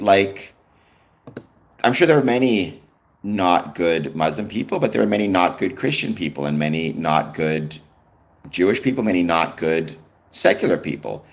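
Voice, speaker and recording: A young man with a Canadian accent talks casually to his live-stream viewers. His voice sits deep and low, yet his pitch swings about freely. He fills the pauses with ums as he answers comments from the chat. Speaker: livestream host.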